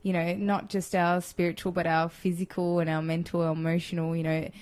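A woman in her twenties from Chile, a podcast guest talking in a relaxed, thoughtful way with many fillers. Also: on the low side, fairly quick. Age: 20s. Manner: thoughtful, filler-heavy, laughs easily. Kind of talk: casual interview chat.

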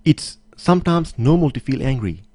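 A man's voice: medium pitch, animated, normal speed, dark tone, no echo. A man speaking as an interview guest and motivational coach, earnest and emphatic, often repeating phrases. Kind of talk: conversational interview, motivational talk.

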